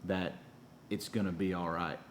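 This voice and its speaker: A man's voice: low voice, flat delivery, normal speed, slight background noise. A man in his forties hosting a casual interview, asking questions and affirming his guest. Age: 40s. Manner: affirming, with frequent short backchannels. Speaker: podcast host.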